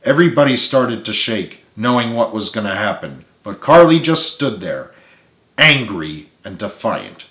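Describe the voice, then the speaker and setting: A man's voice: on the low side, big pitch swings, a little slow, a bit bright. A man reading his own story aloud as a dramatic audiobook narration. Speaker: author reading own book. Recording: echoey room.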